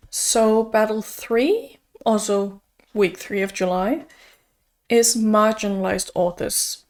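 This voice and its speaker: A young woman from Denmark, a native Danish speaker, chatting casually and upbeat as a book vlogger, with frequent fillers. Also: medium pitch. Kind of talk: casual chat.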